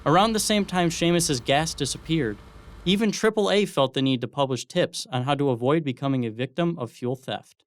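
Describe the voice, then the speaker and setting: A man's voice: a little high, normal speed. A young American man narrating scripted news reports as a student television reporter.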